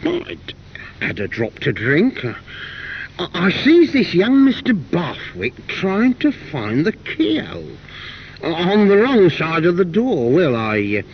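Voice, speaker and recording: A man playing a tipsy working-class fellow who recounts his drunken day out in a halting, self-justifying monologue. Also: high voice, wildly swooping pitch, full character voice. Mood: tipsy, rambling. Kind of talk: dramatic monologue. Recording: dull, noisy cassette recording.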